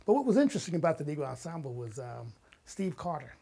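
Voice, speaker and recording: An older man chats as an interview guest. His voice sits slightly high for a man. He tells his stories in an animated, enthusiastic way, like casual recollection. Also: fast, vocal fry, breathy edge. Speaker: guest, writer and filmmaker.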